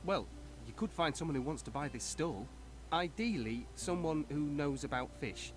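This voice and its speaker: A man's voice: on the high side, expressive, moderate pace, close to an everyday voice. A man playing a chatty fish stall seller. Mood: rueful. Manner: wheedling salesman patter. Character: chatty hapless male fish stall owner. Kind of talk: video game voice acting. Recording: game audio with music behind.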